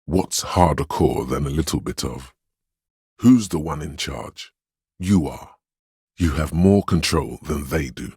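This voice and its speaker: An actor playing a stern, commanding inner voice of authority in a video game, speaking in a grave, imperious, theatrical way. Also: male voice, extremely low pitch, lively intonation, unhurried, darkish tone, slightly rough, dry recording, clean studio sound, full character voice. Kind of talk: dramatic scripted game narration.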